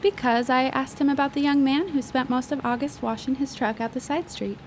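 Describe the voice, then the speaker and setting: An eager, inquisitive young woman of the 1910s, played by an actress, with a fairly high voice. She confides like an amateur sleuth in a period audio drama, with faint outdoor ambience behind her. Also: fairly quick, slight background noise, natural voice. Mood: eager, hushed excitement.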